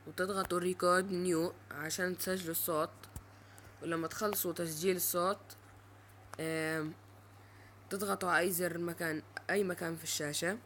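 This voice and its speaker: A male presenter giving an informal, halting step-by-step app tutorial. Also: high-pitched, fairly bright tone, slight background noise.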